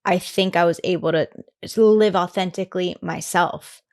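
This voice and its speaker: A woman in her twenties chatting candidly as a podcast guest, rambling with lots of "like" fillers and animated retellings. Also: lowish voice, moderate pace. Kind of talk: candid conversational chat.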